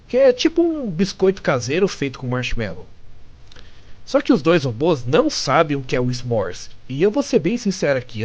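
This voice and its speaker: A Brazilian man narrating a comic-story recap in a conversational, chatty way with amused asides. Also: on the high side. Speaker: narrator and fan translator of comic stories.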